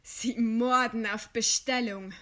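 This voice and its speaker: Proud, high-voiced condemned woman writer. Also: fairly even intonation, bright, forceful tone. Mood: calm irony. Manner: eloquent, measured, scornful. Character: condemned dissident woman writer, widowed, proud, defiant, composed. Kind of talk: German radio play acting.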